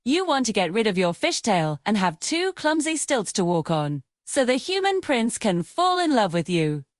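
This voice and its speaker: Sea witch for a storybook , read by a woman: menacing, deliberate, ominous, with a very animated high delivery. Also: dry recording, clean studio sound. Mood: mocking, ominous. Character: menacing female sea witch, horrifying bargaining creature. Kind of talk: storybook narration character.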